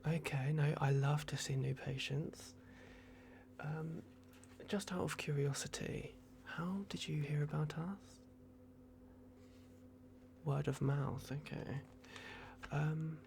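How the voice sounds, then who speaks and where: A man in an ASMR roleplay, playing a polite, flustered vampire at a clinic in a hushed, hesitant, apologetic way. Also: a little high, gravelly, slight background noise.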